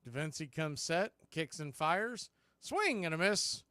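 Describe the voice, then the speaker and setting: A man's voice: a little high, sing-song, unhurried, sharp tone, dry recording. A man doing radio baseball play-by-play as the announcer, speaking in short, clipped phrases.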